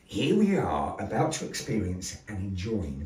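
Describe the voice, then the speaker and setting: A man hosting a live show as storyteller, with grandiose, deliberate phrasing and playful asides to the audience. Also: lowish voice, huge pitch swings, darkish tone. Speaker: storyteller and master of ceremonies. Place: indoor gallery. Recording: roomy echo, voice distant from mic.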